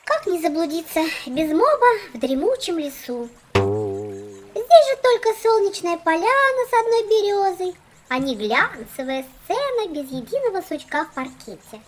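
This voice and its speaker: A woman's voice: extremely high pitch, wildly swooping pitch, a little slow, faint music behind, full character voice. A woman voicing a fussy, boastful little doll girl in a children's cartoon, theatrical and condescending. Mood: haughty.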